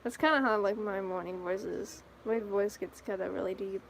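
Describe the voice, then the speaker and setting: A woman's voice: medium pitch, fairly even intonation, normal speed, gravelly, a little hiss or hum. A tired, sleepy woman chatting casually to viewers on a livestream, rambling and trailing off. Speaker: live streamer.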